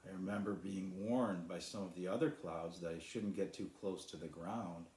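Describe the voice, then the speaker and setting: A man's voice: deep voice, normal speed, darkish tone, natural voice. Man voicing a weary, wistful female rain cloud, echoey. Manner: apologetic, wistful. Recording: roomy echo.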